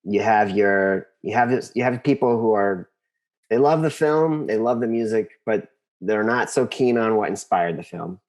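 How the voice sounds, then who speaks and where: Earnest American man, halting storyteller. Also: fairly low pitch. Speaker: interview guest, composer and conductor. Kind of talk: casual personal storytelling in an interview.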